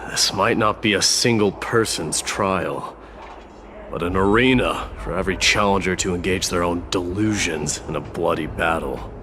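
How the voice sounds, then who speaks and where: An actor playing a stern young male warrior prince in a game, speaking in a calm, reserved, clipped way. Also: low voice, moderate pace, a little hiss or hum. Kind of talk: game voice acting. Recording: music under the voice.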